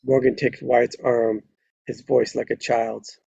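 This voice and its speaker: A man's voice: medium pitch, little pitch movement, slow, creaky, warm tone, husky, no room sound, muffled recording. A man narrating a screenplay at a live table read, stumbling over words now and then and correcting himself.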